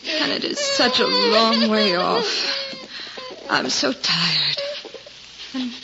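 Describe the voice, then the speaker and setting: A woman's voice: high-pitched, wildly swooping pitch, slow, creaky, heavily acted. A woman playing a destitute soldier's wife in a radio drama, plaintive, breathless and humbly grateful. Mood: sorrowful. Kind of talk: 1940s radio drama acting. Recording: muffled, noisy 1940s radio recording.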